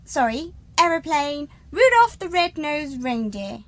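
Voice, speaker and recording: A very high, swooping voice belongs to this dotty aristocratic duchess. She is grand and scatterbrained, with a playful streak, in the manner of radio comedy. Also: sing-song, measured pace, noisy background. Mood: gleefully oblivious.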